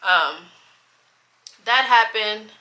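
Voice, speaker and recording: A woman's voice: a little high, drawn-out words, sharp tone, rough recording. A woman in her twenties chatting casually to her camera, rambling and stopping to correct herself. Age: 20s. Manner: rambling, loses track of the timeline and self-corrects. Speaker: vlogger. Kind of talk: casual chat.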